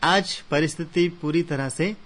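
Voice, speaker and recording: A man from Arunachal Pradesh, a Hindi professor and poet, telling his story as a radio interview guest in an earnest, steady way with many fillers. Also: a little high, unhurried.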